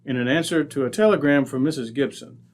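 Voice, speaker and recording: A man's voice: medium pitch, fast. An American man announcing in 1940s radio style, with folksy, emphatic salesmanship.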